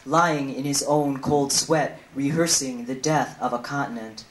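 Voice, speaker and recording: A woman in her thirties performing spoken-word poetry in a declamatory, deliberate way with dramatic pauses, on a handheld microphone in a hall. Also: very deep voice, fairly even intonation. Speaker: poet. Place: indoor hall, on stage. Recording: roomy live hall sound, music behind.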